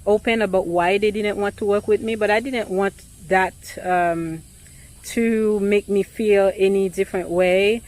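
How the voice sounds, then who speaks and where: A woman realtor with an accent, talking casually and thoughtfully to the camera, pausing often with ums and restarts. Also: medium pitch.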